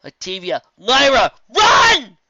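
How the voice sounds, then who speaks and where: A reader voicing a bold young female unicorn pony who shouts warnings. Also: high-pitched, huge pitch swings, slow, dry recording, lo-fi sound, voice pushed up, full character voice. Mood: urgent.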